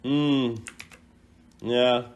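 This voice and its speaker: A man's voice: medium pitch, flat delivery, drawn-out words, a little hiss or hum. A man doing casual reaction commentary as a gaming creator, thinking aloud in an informal, rambling way. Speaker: video game content creator ranking voice lines.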